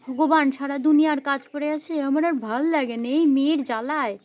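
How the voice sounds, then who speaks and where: A woman's voice: sits high, steady tone, brisk, darkish tone, dull audio, close to an everyday voice. A woman playing an exasperated mother who scolds her naughty little girl in a repetitive, threatening way, in an amateur children's story. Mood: exasperated, complaining.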